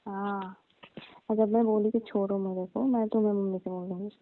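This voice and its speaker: A woman's voice: medium pitch, little pitch movement, moderate pace, natural voice. Woman playing young female cousin, phone-line sound, coy, teasing, bashful, colloquial casual chat. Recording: thin narrow-band phone line.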